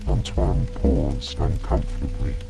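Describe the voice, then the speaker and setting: A man gently and soothingly narrating a calm bedtime story in an unhurried, soft voice. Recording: rain and music behind. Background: steady rain, soft music.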